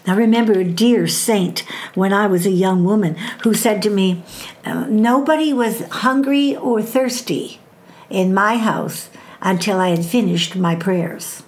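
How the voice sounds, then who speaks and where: An older woman giving a devotional talk on parenting and faith, speaking in a measured, emphatic way and slowing down on scripture references. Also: mid-range voice.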